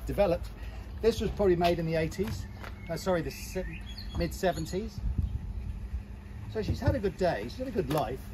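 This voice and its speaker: A middle-aged man chats candidly while he works, thinking aloud in a fairly high-pitched voice. He is recorded on a camera close by in an outdoor yard, with birds and passing traffic clearly audible behind him. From England. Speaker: boat breaker. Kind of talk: hands-on yard vlog. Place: outdoor boatyard.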